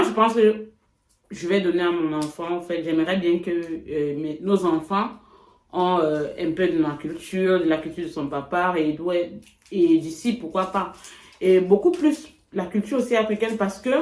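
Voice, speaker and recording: A woman from Cameroon, chatting reflectively in a casual couple vlog, with frequent pauses. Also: on the low side, darkish tone, reverberant. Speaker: vlogger.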